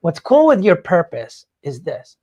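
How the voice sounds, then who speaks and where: A man's voice: on the high side, huge pitch swings, unhurried, mellow, dull audio. A man hosting a podcast in casual motivational talk, explaining things in an informal, rambling way. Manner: informal, rambling explanations peppered with "like" and "you know". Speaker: podcast host.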